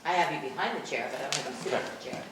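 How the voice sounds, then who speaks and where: Low-voiced female director, fast, matter-of-fact, distant, very echoey room. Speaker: rehearsal director. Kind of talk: giving stage blocking notes. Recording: very echoey room, distant microphone, faint hiss.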